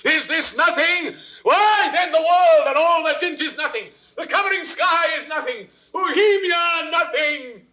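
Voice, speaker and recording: A man's voice: very high voice, measured pace, hardly any pauses, bright, forceful tone, heavily acted. An actor playing a jealous, suspicious king with a British accent, in theatrical classical Shakespearean style for an audio drama. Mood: raging jealousy. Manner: theatrical, crisply declaimed, dropping into whispers. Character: jealous, suspicious king brooding over his wife's imagined betrayal. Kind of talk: classical Shakespearean stage acting, 1960s audio recording.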